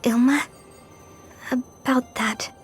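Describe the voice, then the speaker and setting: High-voiced, calm young game heroine. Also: female voice, slow pace, soft background music. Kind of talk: video game cutscene acting.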